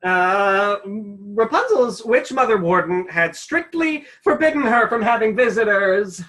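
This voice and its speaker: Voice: medium pitch, expressive, unhurried, a bit bright, rough recording. An actor plays a stuffy storybook narrator in a radio play. The delivery is theatrical and widely swooping, insistent and controlling, easily flustered. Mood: dismissive.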